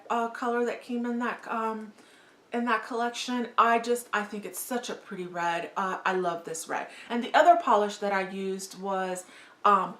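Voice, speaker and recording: A woman's voice: mid-range voice, sharp tone, reverberant. A woman talking casually through a nail polish tutorial, gushing and enthusiastic, with frequent fillers. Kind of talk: casual beauty tutorial talk.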